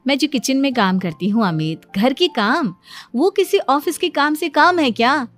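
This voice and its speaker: A woman voicing a confident, boastful young daughter-in-law who explains things with self-assurance in an animated family story. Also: high voice, takes it slowly, faint music behind, full character voice. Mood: playful, proud.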